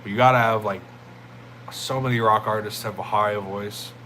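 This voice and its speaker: Deep-voiced laid-back male streamer. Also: steady tone, normal speed, pushed and bright, a little hiss or hum. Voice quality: deep jazzy voice. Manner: laid-back, rambling, thanks chatters as "my friend" and "bro". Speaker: livestreamer. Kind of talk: casual livestream chat with viewers.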